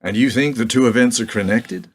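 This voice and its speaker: An actor playing a pragmatic, questioning American President in a radio play. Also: male voice, mid-range voice, brisk, close to an everyday voice. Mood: probing, concerned. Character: male US President, pragmatic, questioning, politically calculating.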